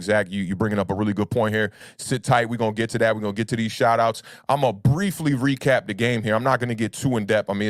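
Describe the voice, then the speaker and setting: A young adult man with a deep baritone voice, under the weather, hosting a casual sports livestream and chatting with fans. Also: no echo, studio quality. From United States. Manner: laid-back, repeats "man" and "y'all know what it is". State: under the weather, deeper voice than usual. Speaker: sports talk livestream host.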